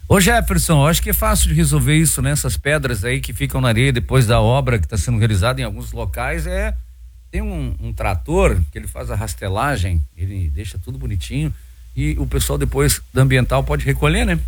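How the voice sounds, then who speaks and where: A man's voice: mid-range voice, lively intonation, fairly quick, slightly rough, no echo. A man with a Brazilian accent hosting a radio talk show, chatty and full of filler words.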